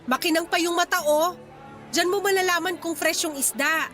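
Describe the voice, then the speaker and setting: A woman's voice: very high voice, normal speed, a bit bright, faint music behind. A woman playing a young, cheeky and persuasive market fish vendor with a Filipino accent in a radio drama. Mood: eager, persuasive. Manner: pleading sales patter with teasing comebacks.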